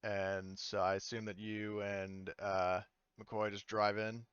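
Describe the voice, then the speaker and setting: A man's voice: fairly low pitch, level tone, slow, a bit bright, smooth, no room sound, dull audio. A man game master improvising his narration of an online tabletop game, hesitantly thinking aloud and swearing casually.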